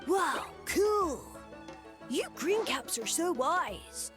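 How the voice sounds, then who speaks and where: A woman voicing a naive, admiring, slightly dim little fungus creature in a video game, gushing and halting. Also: sits high, very animated, music in the background, full character voice.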